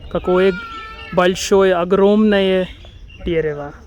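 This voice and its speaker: A man's voice: high voice, steady tone, takes it slowly, darkish tone, dull audio. A man from India, narrating a walking tour outdoors in a lakeside park, careful and dreamy, often correcting himself. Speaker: travel vlogger.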